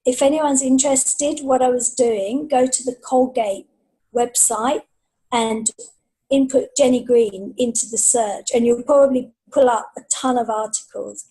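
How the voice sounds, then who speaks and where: Female interviewee, middle-aged, higher register with a dark soft tone, conversational. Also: fairly even intonation, measured pace, rough recording. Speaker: podcast guest, freelance writer and author. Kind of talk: casual interview chat.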